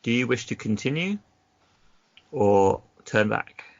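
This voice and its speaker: A man acting as game master, reading gamebook narration aloud in an unhurried way and mixing it with casual chat. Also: medium pitch, lively intonation, vocal fry. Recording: dull lo-fi recording.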